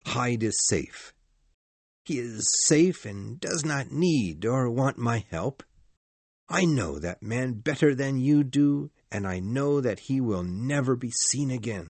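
A man acting a grave, formal gentleman in a gothic mystery audiobook, speaking in a deliberate, earnest way. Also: mid-range voice, animated, slightly rough, no room sound, full character voice. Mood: earnest, insistent. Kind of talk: dramatised audiobook dialogue.

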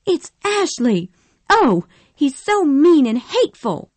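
A woman voicing an impulsive, emotional young Southern woman in a storybook reading, speaking in a high pleading voice. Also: big pitch swings, unhurried, no echo. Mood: upset. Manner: pleading and tearful, high and bright.

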